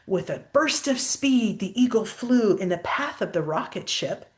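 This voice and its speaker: A woman's voice: medium pitch, animated, unhurried. An older woman reading a children's picture book aloud expressively, like a grandmother pointing out pictures to a child.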